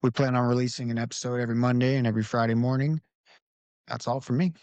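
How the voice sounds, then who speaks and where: A man hosting a casual basketball podcast, talking in a relaxed, conversational way and leading the discussion. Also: mid-range voice, fast, no room sound. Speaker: podcast host. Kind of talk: casual sports podcast talk. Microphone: home recording setup.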